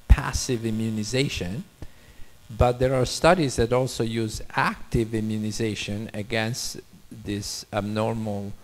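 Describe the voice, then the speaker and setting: A man's voice: on the low side, darkish tone. Italian-accented man on an expert panel. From Italy. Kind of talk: informal expert Q&A. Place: auditorium stage. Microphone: handheld microphone.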